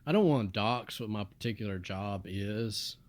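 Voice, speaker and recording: A young man from the United States rambling in a flat, monotone voice with mumbled asides. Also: on the low side, normal speed. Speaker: vlogger. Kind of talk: casual rambling monologue.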